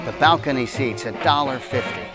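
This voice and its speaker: A man narrates a scripted introduction as show host. His voice is medium in pitch and sits over loud music. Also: a little fast.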